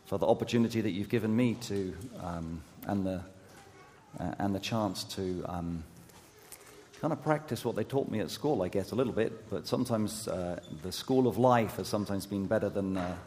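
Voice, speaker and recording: A man preaching a sermon as an associate youth pastor, his voice going hoarse, speaking haltingly with many fillers and restarts and gentle self-deprecating humor. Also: fairly low pitch, a little hiss or hum. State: losing his voice. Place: church sanctuary. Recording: long hall reverb.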